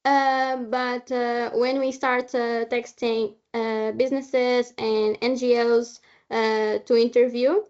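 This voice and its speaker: A woman who is not a native English speaker gives an informal, halting presentation over an online call as a film maker. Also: fairly high pitch, flat delivery, darkish tone. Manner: halting, searching for words. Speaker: short film maker introducing the film. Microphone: video call. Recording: dull, lo-fi online call audio.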